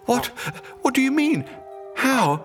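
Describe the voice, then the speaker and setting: A man voicing a naive, excitable young dodo bird in a children's storybook, plaintive and given to bewildered exclamations. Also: very high voice, slow pace, faint music behind, voice pushed up, exaggerated voice.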